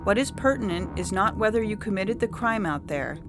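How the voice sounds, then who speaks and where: A woman playing an imperious female judge, speaking with stern, measured certainty in a dramatic story reading. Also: medium pitch, animated, over music, natural voice. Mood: stern conviction. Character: implacable, imperious female judge of absolute conviction.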